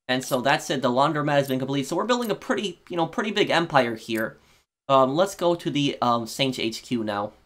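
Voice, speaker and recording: A man hosting a gaming video, giving casual playthrough commentary in a halting, think-aloud way. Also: medium pitch, lively intonation, a bit bright.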